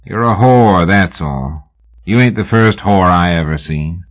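Weary masked Texian gunman, as a narrator puts him on, very deep, slow toneless drawl, menacing, lo-fi. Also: animated. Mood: contemptuous. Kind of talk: audiobook character voice.

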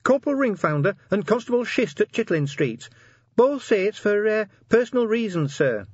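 A man's voice: sits high, sing-song, normal speed, a little mellow, slightly rough, dry recording, dull audio, pitched above the performer's own voice, exaggerated voice. A man voicing a nervous, polite female dwarf police sergeant, hesitant and deferential, in a storybook. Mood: uneasy reporting.

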